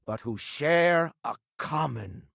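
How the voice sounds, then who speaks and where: An actor playing a shrewd, proud older financier of space exploration in a video game, speaking in a dry, cultured, theatrical and measured way. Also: male voice, fairly high pitch, animated, vocal fry, fairly bright tone, dry recording, heavily acted. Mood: reflective, sincere. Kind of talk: video game character dialogue.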